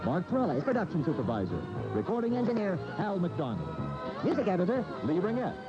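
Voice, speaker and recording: A man's voice: sits high, big pitch swings, quick pace. An American man reading scripted credits as the announcer of a radio drama in 1980. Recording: dull AM radio tape, music behind. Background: music.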